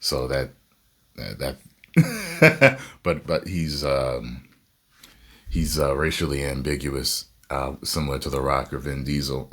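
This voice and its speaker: Very deep-voiced man reacting casually. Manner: laughs often, animated asides. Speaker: reaction host.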